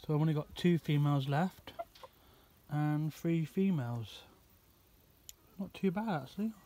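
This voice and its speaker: A man talking casually and haltingly in an unscripted vlog as a hobby chicken keeper, outdoors on a phone. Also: on the high side. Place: outdoors in a grassy chicken run. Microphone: handheld phone, varying distance. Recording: noisy outdoor phone recording, chickens clucking. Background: loud outdoor noise, clucking and crowing chickens.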